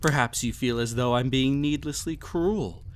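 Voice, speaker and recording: A man's voice: mid-range voice, slight background noise, natural voice. A man playing a cruel, arrogant emperor in a scripted audio roleplay, speaking in a formal, mocking, condescending way. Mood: mocking contempt. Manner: haughty, formal, mocking, deliberate theatrical phrasing. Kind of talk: scripted audio roleplay, villain monologue addressed to listener.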